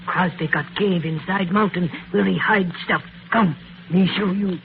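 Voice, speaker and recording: Through a thin, tinny old radio recording with some hiss comes a loyal Native woman helper. She speaks clipped, halting broken English in a creaky voice, with dramatic radio-serial delivery. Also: fairly low pitch. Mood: urgent, helpful.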